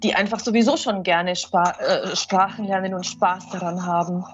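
A woman's voice: fairly low pitch, fairly even intonation, normal speed. A woman sharing her views in a casual conversation, thoughtful and opinionated. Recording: lo-fi recording with faint music.

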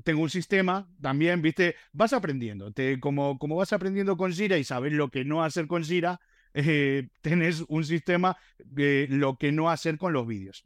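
Male podcast host, slightly high voice, dry recording, rambling and self-deprecating in casual conversation. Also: no echo. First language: Spanish. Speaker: podcast host and video creator. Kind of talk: casual panel chat.

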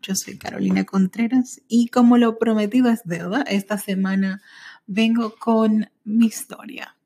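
Mellow-voiced woman telling her life story. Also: mid-range voice, steady tone, vocal fry, breathy edge. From United States. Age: 30s. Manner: intimate, reflective, frequent "eh" pauses. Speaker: podcast host. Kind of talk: personal storytelling podcast. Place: small home apartment. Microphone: studio microphone.

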